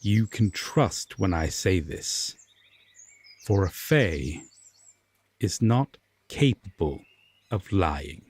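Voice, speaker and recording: Slow, solemn Fae king, acted by a man. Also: sits low, lively intonation, a little mellow, slightly rough, full character voice. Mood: pleading, warning. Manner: slow, formal, measured, with sighs and pauses. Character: solemn, regretful Fae king, secretly tender and flusterable. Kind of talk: slow audio roleplay drama addressed to the listener.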